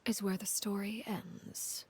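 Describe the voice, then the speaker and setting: A woman playing a cold, stern female house leader in a video game, speaking in a composed, deliberate and formal way with a low, breathy voice. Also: little pitch movement, darkish tone, in a whisper, close to an everyday voice. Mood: measured, cold.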